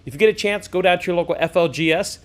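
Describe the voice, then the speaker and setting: A man giving a casual hands-on product review, enthusiastic and folksy, thinking out loud as he goes. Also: sits high, very fast. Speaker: game shop owner and product reviewer. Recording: clearly noisy room recording.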